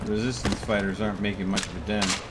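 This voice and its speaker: A man's voice: fairly low pitch, flat delivery, fast talker. A man giving casual gameplay commentary as a streamer, muttering reactions to himself as he plays. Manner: talking to himself, reacting to the game. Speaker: game streamer. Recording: gunfire and game noise over voice. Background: loud video game gunfire and vehicle sounds.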